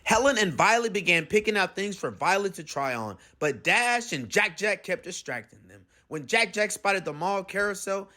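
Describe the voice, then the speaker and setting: A man reading a children's storybook aloud with expressive narration. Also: high voice, moderate pace, hardly any pauses. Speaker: storybook reader.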